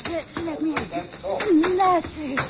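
An actress playing a watchful, uneasy young wife in an urgent old radio drama style. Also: female voice, very high voice, expressive, very slow, loud music behind the voice, heavily acted. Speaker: radio actor. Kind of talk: 1950s radio drama acting. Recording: dull, slightly noisy vintage radio broadcast.

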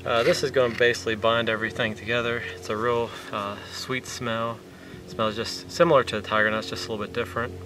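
A man's voice: medium pitch, flat delivery, fast talker, music in the background. A man in his thirties casually demonstrating a fishing bait recipe step by step outdoors by a lake, talking plainly with fillers. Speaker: fishing vlogger. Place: outdoors on a lakeshore. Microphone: camera mic from a few meters away. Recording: distant outdoor camera audio with wind noise.

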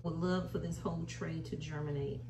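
A woman's voice: sits low, steady tone, normal speed, vocal fry. An American woman talks through a homely how-to in a casual, folksy way. She is recorded indoors some distance from the microphone, with noticeable room echo and a little background noise. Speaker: home gardening video host. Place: small indoor room at home. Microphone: camera a short distance away. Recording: roomy, distant mic, faint noise.

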